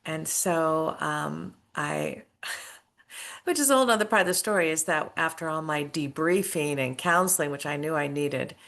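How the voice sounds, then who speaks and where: A woman's voice: deep voice, measured pace, vocal fry, a bit bright. A middle-aged woman, an author and former school principal, telling her story earnestly in a casual interview. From United States.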